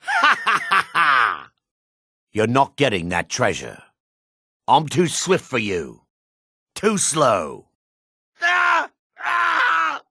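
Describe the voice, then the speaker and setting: An actor playing a male dark elf townsman in a fantasy video game, with a raspy voice, gruff and theatrical. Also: fairly high pitch, no room sound, clean studio sound, exaggerated voice. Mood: gloating, sly, then panicked, pleading. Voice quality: raspy, husky.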